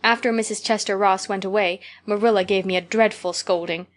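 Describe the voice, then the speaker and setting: A woman's voice: medium pitch, steady tone, brisk, lightly acted. Brief: woman reader voicing a dreamy, dramatic little girl, pouring out tales in a gushing rush. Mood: earnest, dramatic. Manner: gushing, breathless storytelling. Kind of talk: audiobook character reading.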